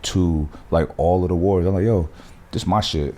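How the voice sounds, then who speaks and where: A man hosting a podcast, talking in an animated, emphatic way full of slang and swearing. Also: sits low, moderate pace. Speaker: podcast host. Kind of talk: casual podcast conversation.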